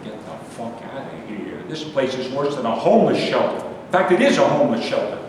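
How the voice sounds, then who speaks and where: A man in a staged play reading, playing a bitter, nostalgic, judgmental city loner who rants haltingly. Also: medium pitch, animated, darkish tone, close to an everyday voice. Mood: bitter, grumbling. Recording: very echoey hall, distant mic, faint noise.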